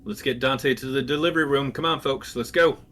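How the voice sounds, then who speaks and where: A man playing a tabletop roleplaying game, talking briskly and decisively with a joking edge. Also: mid-range voice, pushed and bright. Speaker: player.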